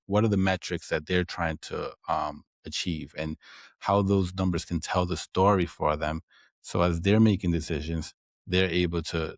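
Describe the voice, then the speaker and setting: A man's voice: sits low, no room sound, clean studio sound. A man from the Dominican Republic with a Dominican Spanish accent, a podcast guest explaining his work and often tagging his points with "right?". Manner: explanatory, frequent "right?" tags. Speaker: guest, accounting firm owner. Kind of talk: podcast interview.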